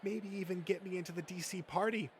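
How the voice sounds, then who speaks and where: A man's voice: high voice, steady tone, quick pace, close to an everyday voice. Man playing a smug comic nerd, noisy recording. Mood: scheming, bitter. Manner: smug, self-important, swearing rant. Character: Josh, boastful, thin-skinned male comic-book nerd scheming for success. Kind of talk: amateur comic-book dub acting.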